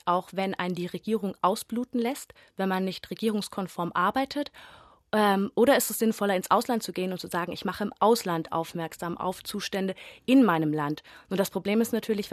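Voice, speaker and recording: A woman's voice: mid-range voice, no room sound, clean studio sound. A young woman theatre performer from Bavaria answering radio interview questions thoughtfully, with many fillers and emphatic stretches.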